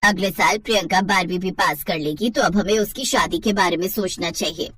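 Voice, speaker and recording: A woman's voice: lowish voice, a little fast, fairly bright tone, slight background noise, pitched below the performer's own voice, lightly acted. A woman voicing a mean, scheming middle-aged stepmother in a cartoon moral story, bossy and contemptuous. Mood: scornful. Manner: scornful, bossy.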